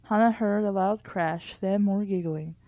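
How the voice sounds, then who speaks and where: A woman's voice: mid-range voice, measured pace, mellow, no echo, highs cut off. A woman reading a children's book aloud in a casual way, with wry, candid asides of her own. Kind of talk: casual read-aloud with commentary.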